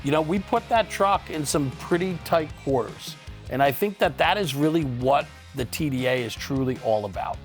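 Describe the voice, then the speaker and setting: A man's voice: a little high, normal speed. A man presenting as a studio host in a conversational, upbeat and emphatic style. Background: light guitar music.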